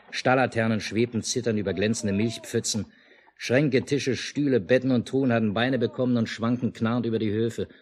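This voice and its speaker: Low-voiced, unruffled village father played by an actor. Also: a little mellow, close to an everyday voice. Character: stubborn, unruffled village family man, father. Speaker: radio play lead and narrator. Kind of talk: 1950s German radio drama acting. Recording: noisy mono 1950s radio recording.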